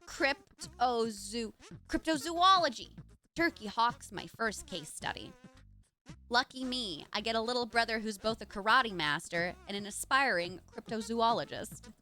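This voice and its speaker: A woman voicing an eager, excitable young boy who wants to hunt cryptids, read aloud from a visual novel. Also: fairly high pitch, measured pace, faint music behind.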